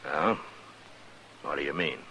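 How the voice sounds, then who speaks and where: Very deep-voiced frontier marshal. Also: male voice, bright, forceful tone, full character voice, poor audio. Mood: firm, unyielding. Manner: plain-spoken, measured, folksy. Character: firm, weary frontier US Marshal, steady and unflinching. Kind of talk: old-time radio western acting. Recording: hissy old radio recording.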